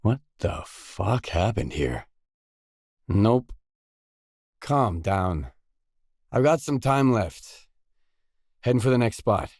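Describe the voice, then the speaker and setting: An actor playing a guarded, dry, tough stalker mercenary in a video game, with terse, blunt delivery. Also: male voice, fairly low pitch, very animated, slow, pauses often, darkish tone, no echo, full character voice. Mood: angry, confrontational, then calm, matter-of-fact. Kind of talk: video game voice acting, isolated dialogue lines.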